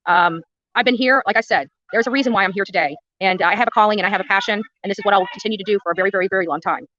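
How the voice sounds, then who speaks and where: American lady ranting on a livestream, super fast and sharp, blunt, repeats herself, dull lo-fi audio. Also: mid-range voice. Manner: blunt, combative, repetitive for emphasis. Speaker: livestream host and protest activist. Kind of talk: livestream rant to viewers.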